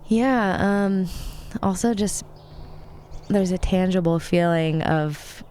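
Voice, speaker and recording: Slightly raspy, low-voiced woman, congested. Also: takes it slowly, darkish tone, slight background noise. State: sniffly with allergies. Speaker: podcast guest. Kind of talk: casual podcast conversation.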